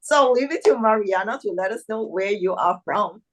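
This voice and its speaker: A woman's voice: mid-range voice, lively intonation, fairly quick. A woman hosting an online club meeting over a video call, introducing guests in an upbeat, exclamatory way.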